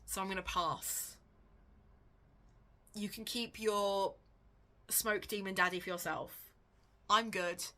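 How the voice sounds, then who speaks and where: A woman book vlogger chatting casually and thinking aloud, with a wry, animated, self-questioning way of talking. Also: mid-range voice, takes it slowly, long pauses.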